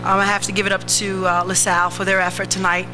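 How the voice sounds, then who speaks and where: A middle-aged woman coach speaking at a press conference into a table microphone, measured, with many fillers and repeated words. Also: mid-range voice, flat delivery, music in the background, poor audio. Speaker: head basketball coach. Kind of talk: post-game press conference remarks.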